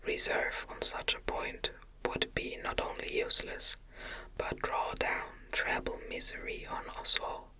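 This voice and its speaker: Whispering woman reading a gothic novel. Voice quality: breathy unvoiced whisper. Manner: gentle, soothing, measured reading. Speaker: audiobook narrator.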